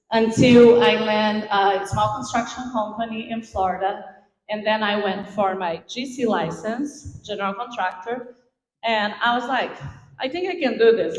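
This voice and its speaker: A middle-aged woman from Brazil with a Brazilian accent, giving an informal, animated motivational talk on stage in a large room. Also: mid-range voice. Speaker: invited event speaker. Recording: echoey hall.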